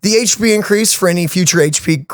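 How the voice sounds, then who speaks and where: A man co-hosting a game reaction video, casually explaining stats with lots of fillers in an animated way. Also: high voice, quick pace, dry recording, clean studio sound. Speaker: co-host of a game reaction channel.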